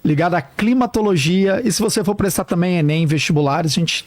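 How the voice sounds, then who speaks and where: A man with a high voice pitches his geography channel with enthusiasm and a provocative edge. His voice sounds dry and close, with no room echo. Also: brisk. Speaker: podcast guest, geography content creator. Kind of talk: casual podcast chat.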